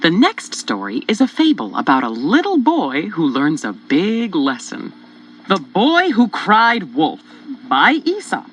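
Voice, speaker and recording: Highly animated children's story narrator. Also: a little slow, poor audio. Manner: expressive, theatrical. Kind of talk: scripted storybook narration, rhyming in places. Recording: music behind, from cassette tape.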